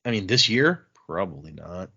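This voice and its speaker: A man's voice: deep voice, normal speed, gravelly, slightly rough, muffled recording. A man hosting a podcast, chatting casually and reading listener emails with loose fillers and restarts. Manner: relaxed, rambling with fillers and restarts.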